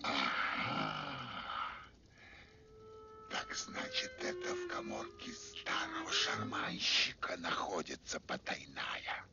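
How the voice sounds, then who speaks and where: A man's voice: low-pitched, huge pitch swings, slow, creaky, full character voice. A man playing a menacing, greedy puppet theatre director in an old Soviet cartoon, theatrical, threatening and falsely sweet. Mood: sly, curious. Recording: dull old film soundtrack, music behind.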